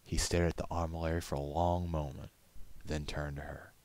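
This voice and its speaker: A man reading a story aloud as narrator, in a measured, solemn, dramatic audiobook style. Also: a bass voice, level tone, darkish tone.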